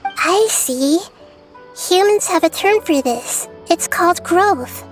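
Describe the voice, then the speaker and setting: Soft girlish wise goddess, really high voice, quiet music behind. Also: lively intonation, slow pace, heavily acted. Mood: thoughtful. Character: gentle girlish goddess of wisdom, calm and thoughtful. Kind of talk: video game voice acting.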